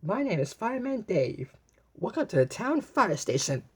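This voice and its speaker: A helpful, folksy fireman in a children's storybook, read by a woman. The delivery is patient and welcoming, with a slightly husky voice, explaining things simply. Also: mid-range voice, big pitch swings, normal speed, a little mellow, pitched above the performer's own voice.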